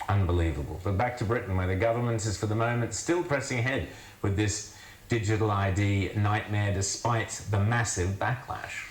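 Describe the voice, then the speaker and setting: A man from Australia delivers news commentary with wry scorn and heavy emphasis. His voice sits fairly low. The sound is roomy with noticeable echo. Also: normal speed, slight background noise. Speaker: commentator host.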